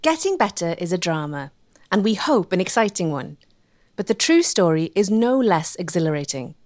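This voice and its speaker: A woman reading scripted documentary narration in a measured, articulate way. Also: fairly low pitch, big pitch swings, no room sound.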